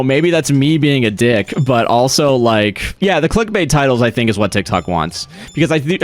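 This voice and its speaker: A man streaming a game, chatting casually with his viewers, swearing freely and laughing often. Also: medium pitch. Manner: profane, laughs often, chatty asides to chat. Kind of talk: casual live-stream chat while gaming.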